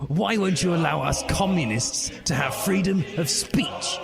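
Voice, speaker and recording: A man's voice: on the high side, measured pace, vocal fry, voice pushed up. A man voicing a zealous, angry, self-righteous communist schoolboy in a declamatory storybook reading. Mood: indignant. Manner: declamatory, indignant. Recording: music behind the voice.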